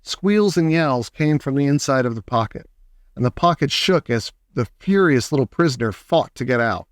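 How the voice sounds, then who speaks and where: A man reading a children's storybook aloud as an expressive audiobook narrator. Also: medium pitch, moderate pace, dry recording, clean studio sound.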